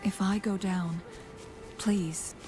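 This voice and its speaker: A woman playing a composed, scholarly young counselor in a video game, speaking in a measured, soft and sometimes breathy way. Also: mid-range voice, fairly even intonation, music in the background, natural voice. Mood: calm, grave.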